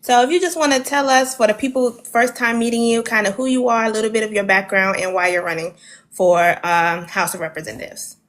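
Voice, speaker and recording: A woman's voice: fairly high pitch, brisk, a bit bright. A woman moderating an interview, asking loosely phrased questions in an encouraging way.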